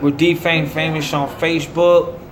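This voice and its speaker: A man's voice: a little high, flat delivery, a little slow, a crowd in the background, low-quality recording. An American man delivering a rambling monologue in short, declamatory, preacher-like phrases. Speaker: self-promoting musician.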